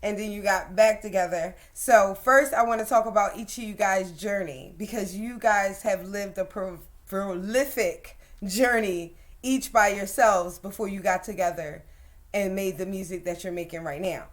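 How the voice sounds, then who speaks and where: A woman hosting a casual interview show, lively and quick to laugh. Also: mid-range voice. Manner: lively, laughing, repeats herself. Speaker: talk show host.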